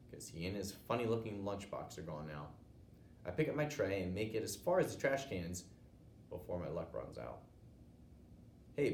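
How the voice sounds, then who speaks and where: American male storybook narrator, low voice, teacher reading aloud, recorded in an echoey room. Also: darkish tone. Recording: roomy echo, noticeable background noise.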